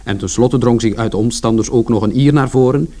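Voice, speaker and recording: A man's voice: mid-range voice, brisk, dark tone, close to an everyday voice. An actor playing a plain-spoken working-class son who tells his story in a colloquial, confiding first-person radio drama narration. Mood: wry recounting.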